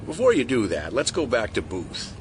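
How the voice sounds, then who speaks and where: A man playing a calm, persuasive conspiracy insider in an audio drama, speaking in a measured, knowing storyteller's way. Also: lowish voice. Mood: measured storytelling. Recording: noisy street ambience behind voice.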